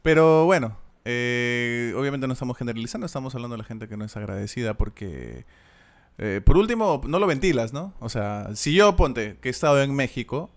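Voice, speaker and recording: A man's voice: mid-range voice, very animated, brisk. A man with a Peruvian accent, co-hosting a casual chat in an easygoing, reflective way. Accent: Peruvian Spanish. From Peru.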